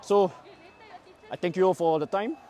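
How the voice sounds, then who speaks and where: A man losing his voice, chatting excitedly on a phone outside a football stadium after a match. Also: sits high, moderate pace, some chatter behind, slight background noise. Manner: excitable, rambling with fillers. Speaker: football vlogger. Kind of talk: casual post-match vlog. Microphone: handheld phone with clip mic.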